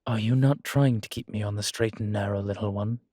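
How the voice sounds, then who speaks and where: A man playing a guilt-ridden, gentle and wry ancient vampire in an intimate audio roleplay, speaking in a halting, reflective way. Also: on the low side, steady tone, no room sound, clean studio sound, natural voice. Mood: fond, amused. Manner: halting, reflective, with sighs and soft chuckles. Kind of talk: intimate one-sided audio roleplay acting.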